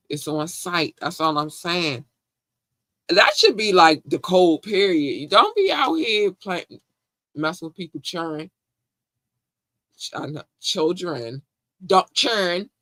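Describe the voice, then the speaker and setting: Male podcast host, Baltimore accent, slightly high, bright and forceful, casual slangy banter. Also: no room sound. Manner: animated, affectionate, slangy, shouting out listeners. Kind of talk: casual live-stream chat.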